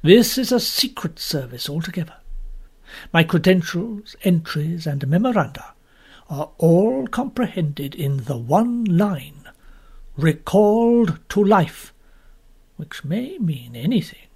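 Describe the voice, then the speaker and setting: A reader voicing a cautious, formal elderly male banker who speaks in a measured, discreet way. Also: medium pitch, big pitch swings, a little mellow, slightly rough, full character voice. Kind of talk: audiobook character voice.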